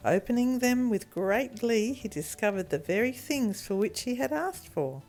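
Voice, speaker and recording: A woman reading a children's story in an expressive storytelling manner. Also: a little high, soft background music. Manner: animated, deliberate storytelling with acted character voices.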